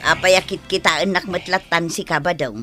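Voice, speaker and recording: A woman's voice: lowish voice, steady tone, fairly quick, music in the background, close to an everyday voice. A woman acting a bossy, practical wife with a small food business in an Ilocano radio comedy drama. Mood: brisk, insistent.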